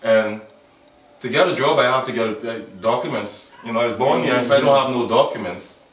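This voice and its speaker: A man's voice: medium pitch, distant mic, slight background noise. A man in his thirties with a Bahamian accent, speaking earnestly in an interview and stopping often to restart his sentences. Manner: earnest, halting, with many fillers and restarts. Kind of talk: documentary interview. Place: outdoors by a wall in a yard. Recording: echoey, off-mic camera sound.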